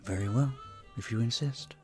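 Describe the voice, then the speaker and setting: A man playing a charming, polite vampire bartender in an intimate audio roleplay, soft-spoken and slightly formal. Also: medium pitch, moderate pace, faint music behind, close to an everyday voice. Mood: modest, conversational. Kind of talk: audio roleplay, intimate one-sided dialogue.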